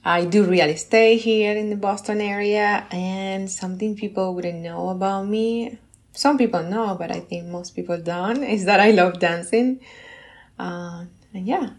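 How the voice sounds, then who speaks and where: A woman's voice: mid-range voice, measured pace. A woman from Venezuela, a guest on a podcast, speaking enthusiastically with slightly phrasing. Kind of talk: casual interview talk.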